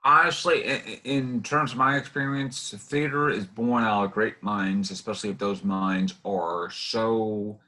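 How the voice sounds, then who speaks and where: A man hosting a casual interview in a halting, rambling way. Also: fairly low pitch, fairly even intonation, sharp tone, low-quality recording. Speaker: interview host.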